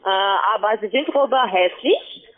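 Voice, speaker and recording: High, lively woman on phone. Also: moderate pace. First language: not German. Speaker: call centre sales agent. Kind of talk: halting sales pitch. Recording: narrow, tinny phone line.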